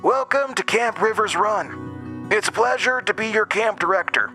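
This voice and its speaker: A man voicing a hearty male summer camp director in an audio drama, calling out loudly to a crowd as he announces. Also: sits high, normal speed, soft background music, voice pushed up. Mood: hearty, announcing. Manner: loud, projecting, announcing to a crowd.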